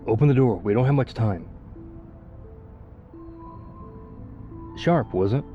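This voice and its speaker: An actor playing a tough, weary, loyal zombie apocalypse survivor in an intimate ASMR roleplay, speaking conversationally. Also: male voice, mid-range voice, expressive, a little fast, dull audio, faint music behind, natural voice. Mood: urgent.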